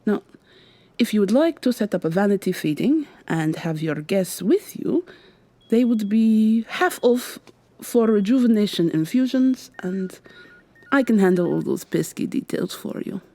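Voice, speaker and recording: A woman's voice: medium pitch, expressive, unhurried, dry recording. A woman playing a weary, sardonic vampire receptionist with a secret, acting in a scripted ASMR roleplay. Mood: politely firm. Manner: polite customer-service patter, hushed urgent asides.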